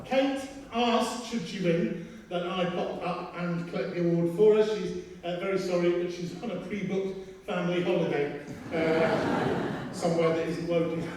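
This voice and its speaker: A man's voice: high voice, moderate pace. A man giving a formal acceptance speech with dry humour from a theatre stage. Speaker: artistic director accepting an award. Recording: echoey noisy hall from far off.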